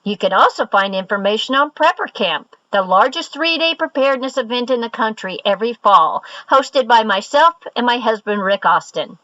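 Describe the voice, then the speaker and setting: A woman's voice: a little high, animated, normal speed, few pauses, sharp tone, no room sound, lo-fi sound. A woman host reading a cheerful promotion of her books and event. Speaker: podcast host. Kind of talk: scripted promotion.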